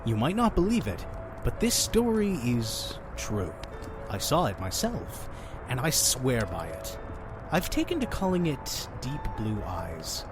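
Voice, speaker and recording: An effusive, theatrical male innkeeper acted by a man in an audio drama. His pitch swings widely as he chats on, pushy and mysterious, over music. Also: huge pitch swings, unhurried. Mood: earnest, mysterious.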